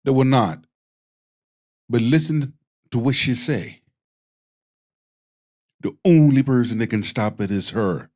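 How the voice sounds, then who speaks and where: Slow-talking Jamaican man preaching. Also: medium pitch, a little mellow. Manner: slow, preachy and blunt, with profanity. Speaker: host. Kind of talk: commentary on clips.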